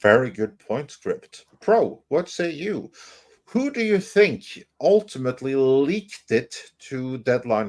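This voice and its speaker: Man hosting an opinionated panel discussion. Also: medium pitch. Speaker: panel host.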